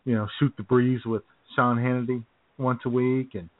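A man's voice: mid-range voice, level tone, moderate pace, a crowd in the background. A man co-hosting a radio show with casual, wry joking banter and frequent chuckles, heard over a phone-like connection. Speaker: co-host of a political comedy radio show. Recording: tinny phone-line internet radio audio.

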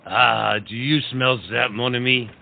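A man's voice: medium pitch, little pitch movement, unhurried. Exuberant French marquis played by a man, theatrical, rousing period-comedy delivery; narrow phone-band sound with noise. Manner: grand, rousing, peppered with French phrases. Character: exuberant, idealistic French marquis urging his friend on. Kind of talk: theatrical comic period audio drama. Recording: tinny phone-like line, clear background noise.

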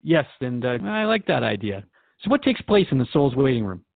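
A man's voice: mid-range voice, very animated, a little fast, vocal fry, no echo, narrow, tinny audio. A man playing a curious, polite radio host in an audio drama, interviewing in a courteous, conversational way. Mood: inquisitive, agreeable.

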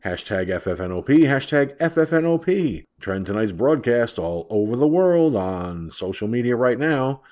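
A man's voice: mid-range voice, very animated, normal speed, few pauses, lo-fi sound. Stuffed-up, profane ranting male talk host. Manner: brash, profane, mocking. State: stuffed up, nasal from allergies. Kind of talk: political talk rant.

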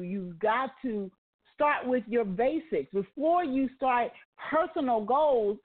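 Slow-talking woman exhorting over phone. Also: a little high. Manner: earnest exhorting, affirms with steady murmurs. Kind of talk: faith talk radio chat. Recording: tinny phone line.

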